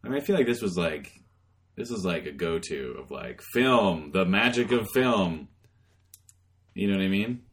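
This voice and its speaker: A man co-hosting a podcast, thinking out loud in a wry, rambling way. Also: deep voice, animated, moderate pace. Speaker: podcast co-host. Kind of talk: casual chat.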